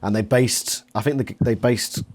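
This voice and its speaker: A man's voice: medium pitch, fairly even intonation, moderate pace, darkish tone. A man talking casually as an interviewer and reviewer in a fan discussion.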